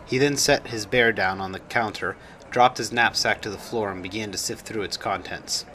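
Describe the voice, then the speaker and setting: Bright-voiced man narrating a story. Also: on the low side, normal speed, slight background noise. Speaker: author reading own story.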